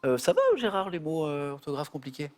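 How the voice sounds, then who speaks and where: A man's voice: a little high, expressive, fairly quick. A man hosting a television quiz show in a studio, playful and chatty with the contestants.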